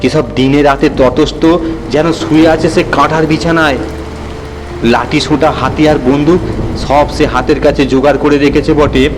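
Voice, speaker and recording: A man narrating a scripted horror story in a dramatic style. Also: mid-range voice. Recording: long reverb, music behind.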